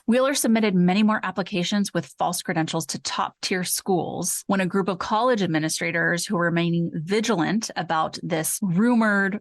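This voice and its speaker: A woman's voice: on the low side, no room sound. A woman co-hosting a podcast, mixing scripted case narration with casual, conversational commentary. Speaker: podcast co-host.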